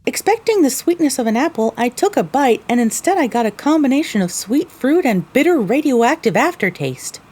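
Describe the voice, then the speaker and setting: A woman's voice: high voice, sing-song, normal speed, hardly any pauses, darkish tone, husky. A woman narrating a scripted first-person story.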